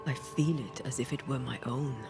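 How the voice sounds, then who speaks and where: An actress playing a passionate, brooding ghost woman in a video game, with a breathy, whispery, intimate delivery. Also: a bass voice, steady tone, over music. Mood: intense, hushed. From Cuba (the character). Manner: slow, hushed, deliberate.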